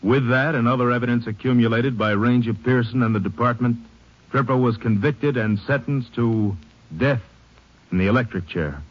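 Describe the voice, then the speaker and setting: A man's voice: medium pitch, normal speed. A man narrating a scripted case epilogue in a formal, factual 1950s radio style. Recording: muffled, faintly noisy old radio recording.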